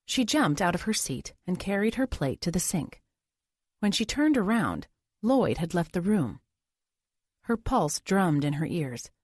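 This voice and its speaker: A woman's voice: on the low side, sing-song, a little slow, darkish tone, dry recording, clean studio sound. A woman reading scripted thriller narration as an audiobook narrator.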